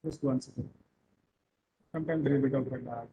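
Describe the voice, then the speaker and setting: A man from Punjab with a Punjabi accent, giving an online lecture over a video call and pausing often with fillers. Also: mid-range voice, fairly even intonation, dark tone, dull audio. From Punjab, India. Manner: hesitant, many filler pauses. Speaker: guest lecturer.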